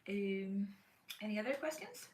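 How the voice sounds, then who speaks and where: A woman's voice: medium pitch, big pitch swings, a little slow, darkish tone, reverberant, recorded from a distance, slight background noise. A woman from Northern Ireland, raised in Spain, hosting a casual book-channel livestream from home and thinking aloud with plenty of fillers. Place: home room by her bookshelf.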